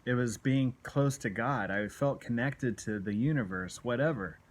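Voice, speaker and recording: A man's voice: medium pitch, normal speed. A man in his late forties talking candidly to camera in an earnest, exhorting, confessional way, often repeating his points for emphasis. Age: late 40s. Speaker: self-help video blogger. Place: small room at home, indoors. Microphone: phone at arm's length.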